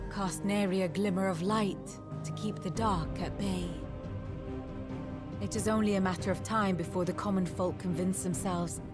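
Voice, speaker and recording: Woman playing a slow, grave fantasy chronicler. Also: mid-range voice, lightly acted. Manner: measured, formal, foreboding. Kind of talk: dramatic scripted fantasy game narration. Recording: loud music behind the voice. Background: loud music.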